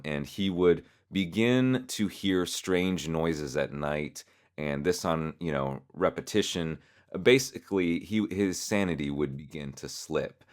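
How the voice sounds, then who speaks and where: A man hosting an explainer, narrating in a measured, explanatory way that is partly scripted. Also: rumbling low. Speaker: host explaining a horror web series.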